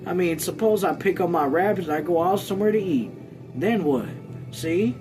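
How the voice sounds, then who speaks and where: A man voicing an awkward, earnest rural hunter from a novel, halting and folksy in his wording. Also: high-pitched, expressive, voice pushed up. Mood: embarrassed, insistent. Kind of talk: audiobook-style character reading. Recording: music behind.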